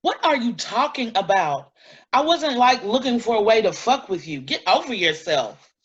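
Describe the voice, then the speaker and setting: A woman's voice: a little high, sing-song, normal speed, breathy edge. Dull-sounding, defiant activist woman played by an actress. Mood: combative. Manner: blunt, combative. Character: defiant, principled woman animal-rights activist. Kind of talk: staged play reading.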